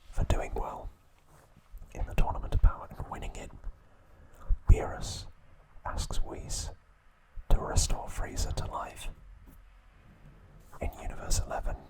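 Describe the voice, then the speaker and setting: A man hosting an ASMR video, recapping a story in a soft, unvoiced whisper. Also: low-quality recording. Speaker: ASMR host.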